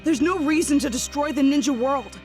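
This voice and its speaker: A woman's voice: high-pitched, a little fast, darkish tone, faint music behind, lightly acted. A woman playing an earnest, kind-hearted teenage girl in an anime game dub, urgent and pleading.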